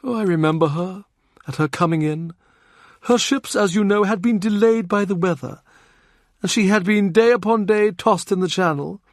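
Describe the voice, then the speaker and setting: A man's voice: sits high, normal speed, dry recording, studio quality. A man voicing an urbane, shrewd older cardinal who reminisces in a genial, confiding way. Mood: reminiscing. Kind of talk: audiobook character reading.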